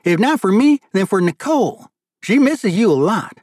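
A man playing an excitable, high-voiced hearty father. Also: moderate pace, dry recording, clean studio sound. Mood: warm, hearty. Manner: thick accent, hearty. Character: excitable, warm middle-aged father, restaurant owner.

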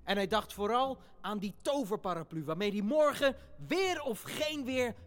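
An adult as an animated, wondering young sibling. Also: medium pitch, moderate pace, soft background music, natural voice. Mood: quiet narrating. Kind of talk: theatrical storytelling in a family audio play.

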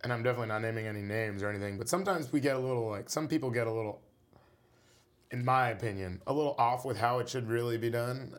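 A man, a musician guest in an interview, talking casually and rambling with many fillers. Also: mid-range voice.

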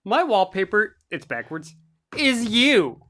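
A young woman co-hosting a casual chat, animated and talkative, often laughing. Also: mid-range voice, slow pace. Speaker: podcast co-host.

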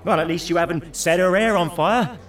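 A man's voice: high voice, a little fast, fairly bright tone, far from the mic. An actor playing the mouthy, berating, panicky inner voice of an awkward British man in an audio drama. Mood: scolding. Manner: sweary, berating.

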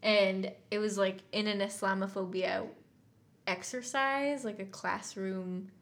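A woman's voice: medium pitch, steady tone, unhurried. A woman co-hosting a podcast as a story writer, casually explaining her thinking in a reflective way.

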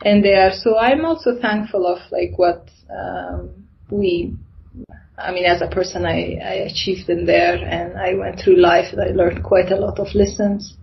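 A woman from Afghanistan, a non-native English speaker, recounts personal experiences as an interview guest, often pausing to search for words. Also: lowish voice, steady tone, creaky, a little hiss or hum. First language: not English. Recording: dull, lo-fi audio.

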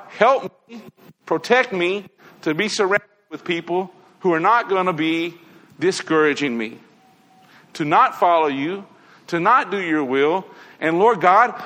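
Male preacher, earnest and conversational, high bright voice, sermon in an echoing church hall. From Tennessee, USA. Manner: earnest, conversational, addresses congregation directly. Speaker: preacher giving a morning lesson. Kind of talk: sermon with prayerful asides.

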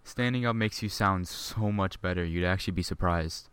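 A man's voice: low voice, moderate pace, a bit bright, clear, resonant tone, no echo, studio quality. A young man co-hosting a casual podcast at home, joking and laughing a lot. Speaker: podcast co-host. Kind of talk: casual chat. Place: home, low chair at a table.

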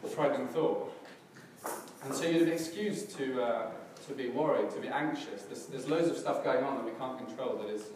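Hoarse man preaching in echoey hall. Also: medium pitch, normal speed. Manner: relaxed, self-deprecating, hesitant with fillers and restarts. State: slightly hoarse, crackly voice. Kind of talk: casual church sermon. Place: church hall, in front of a congregation. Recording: distant, very echoey hall with background noise.

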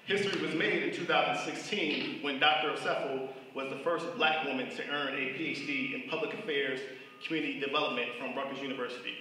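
A man's voice: medium pitch, steady tone, fast, bright, forceful tone. A man hosting a live event in a casual, colloquial way, introducing and interviewing a guest before an audience. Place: large indoor room at a live event. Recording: distant echoey hall, faint noise.

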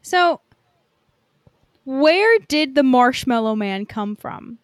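A woman with a fairly high voice, chatting about films as a podcast co-host. Her talk is loose and informal, a bit sweary, and the sound is close and dry. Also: expressive, slow pace, dry recording. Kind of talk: casual movie chat.

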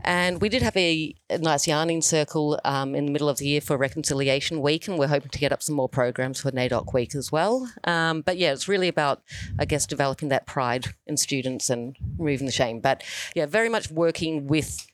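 A woman's voice: low-pitched, brisk, no echo. This is a woman, giving interview answers as a teacher. She comes across as earnest and thoughtful. The sound is dry, with hardly any room around her voice. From New Zealand.

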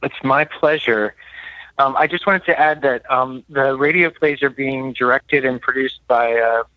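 A man's voice: medium pitch, a little slow, no echo. A man calling in to a radio show as a guest playwright, speaking conversationally. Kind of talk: conversational interview. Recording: slightly dull call-in line.

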